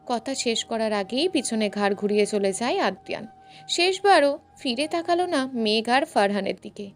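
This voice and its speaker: A woman's voice: on the high side, expressive, moderate pace. A woman reading scripted fiction as a dramatic storyteller. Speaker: story narrator. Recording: faint music behind the voice. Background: faint music.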